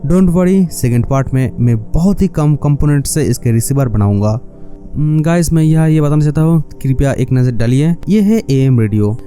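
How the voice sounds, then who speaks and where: A man's voice: mid-range voice, mellow. A man narrating a scripted electronics tutorial, explaining step by step, speaking directly to viewers and mixing in English phrases. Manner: explanatory, addresses viewers directly with rhetorical questions; mixes English words and phrases into speech. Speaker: host of a DIY electronics tutorial.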